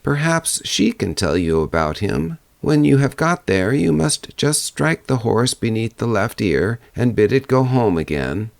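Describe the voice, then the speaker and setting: A man's voice: mid-range voice, sing-song, measured pace, gravelly, hardly any pauses, no room sound, voice pushed up. A man voicing an aged, helpful fairy-tale old woman who instructs in a singsong manner. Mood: helpful, instructing.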